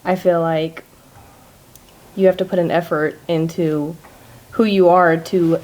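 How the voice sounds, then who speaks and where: A woman in her twenties on a podcast panel, measured and careful, hedging often. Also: fairly low pitch, level tone, darkish tone. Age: 20s. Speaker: fitness coach, panel guest. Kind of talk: casual panel discussion.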